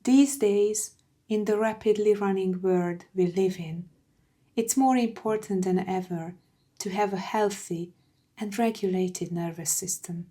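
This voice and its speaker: A woman guiding a meditation from a script, soothing and hushed, with phrases that trail into breathiness. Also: mid-range voice. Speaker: meditation guide. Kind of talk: slow guided meditation, scripted.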